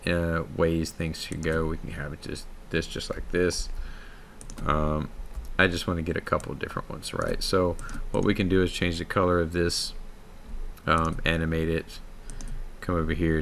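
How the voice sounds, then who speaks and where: A man with a very low, gravelly voice walks through a screen-recorded software lesson in a loose, rambling way. He circles back on his words often. A faint hiss and some keyboard typing sit under his voice. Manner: casual, rambling, many fillers and restarts. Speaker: software tutorial instructor.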